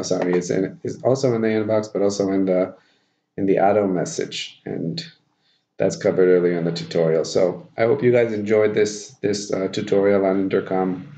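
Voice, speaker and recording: Low-voiced man giving a casual screencast tutorial. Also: warm tone. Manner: informal, thinking aloud while demonstrating. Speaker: tutorial presenter. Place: small plain room indoors. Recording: dull lo-fi audio, background noise.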